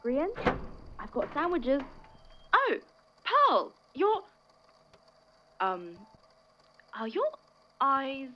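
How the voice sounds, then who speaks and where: Woman playing easily exasperated friend, high-pitched, flustered, huffy, audio fiction, slightly dull recording. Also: wildly swooping pitch, drawn-out words, long pauses, slight background noise, full character voice. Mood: startled, flustered. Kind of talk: audio drama acting.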